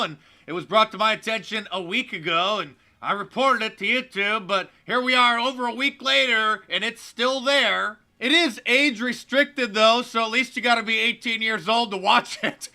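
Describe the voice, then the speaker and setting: A man's voice: extremely high pitch, moderate pace, hardly any pauses, sharp tone. A man giving sarcastic video commentary in the manner of an annoyed complaint.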